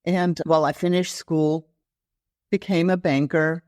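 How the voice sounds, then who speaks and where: A woman's voice: low voice, fairly even intonation, measured pace, a little mellow, dry recording, clean studio sound. A woman from Germany, a health coach telling her story in an interview, talking with many fillers and restarts. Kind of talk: casual interview conversation.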